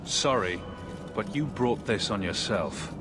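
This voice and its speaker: Calm, low-voiced young hero. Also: male voice. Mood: composed. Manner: calm, measured. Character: composed, determined young male refugee hero. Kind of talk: video game cutscene acting. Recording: loud music behind the voice.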